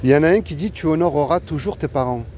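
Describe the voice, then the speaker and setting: A man evangelizing in a street interview, asking passersby direct questions and slowing down to stress his points. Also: on the high side, a little mellow. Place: city street outdoors. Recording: street noise behind.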